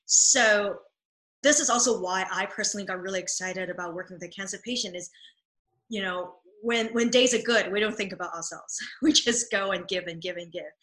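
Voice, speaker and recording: A woman talks as a guest in an interview, her bright voice sitting at a middling pitch. She speaks earnestly and with enthusiasm, often stopping to restart a thought with "um" and "like". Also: brisk, rough recording. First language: Cantonese. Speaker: dance movement therapist.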